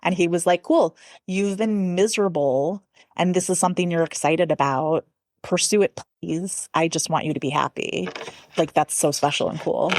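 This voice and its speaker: Low-pitched, chatty woman in her forties. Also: normal speed, a little mellow, no room sound. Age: 40s. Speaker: podcast guest. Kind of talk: casual conversational interview.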